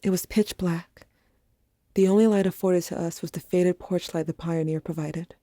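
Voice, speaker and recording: A woman playing a weary, somber narrator, telling a first-person story in measured audio drama narration. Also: on the low side, steady tone, dark tone, no echo, clean studio sound, natural voice. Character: somber, weary narrator recounting haunted memories. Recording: faint ambient noise.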